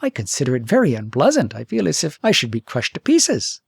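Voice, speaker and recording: A man's voice: mid-range voice, wildly swooping pitch, brisk, gravelly, slightly rough, no echo, studio quality, heavily acted. Man reading as a prim young storybook princess. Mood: petulant. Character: spirited young princess, petulant yet affectionate. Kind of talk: storybook character voice.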